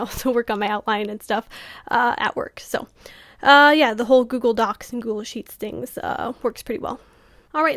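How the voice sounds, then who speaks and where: A woman author talking casually to camera, full of fillers and small laughs, whose voice is going hoarse. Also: fairly high pitch, fairly bright tone, no echo, clean studio sound. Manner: chatty, many fillers, laughs often. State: losing her voice. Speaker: author vlogger.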